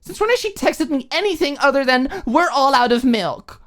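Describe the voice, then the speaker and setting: Woman acting an indignant, high-voiced young student. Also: animated, moderate pace. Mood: indignant ranting. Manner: huffy, exasperated, rhetorical questions. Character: Maud, indignant young woman student ranting at her roommate.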